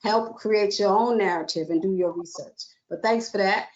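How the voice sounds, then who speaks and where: A woman moderates a webinar panel and keeps things moving in a brisk, encouraging way. Her voice sits at a middle pitch. The audio is slightly dull, like a typical online call. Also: darkish tone.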